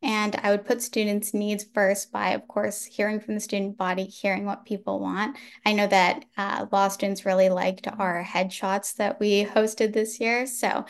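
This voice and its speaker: A woman's voice: mid-range voice, level tone, normal speed, hardly any pauses. A young woman answering a debate question as a student candidate, explaining her ideas conversationally. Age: young adult.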